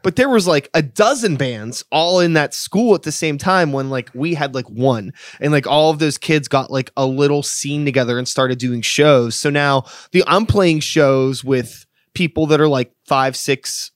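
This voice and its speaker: A man's voice: on the high side, normal speed, few pauses, dry recording. Chatty man in his thirties, dry sound. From Pittsburgh area, USA. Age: 30s. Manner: loose and chatty, heavy filler "like", swears casually. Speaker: podcast host. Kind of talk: casual interview chat.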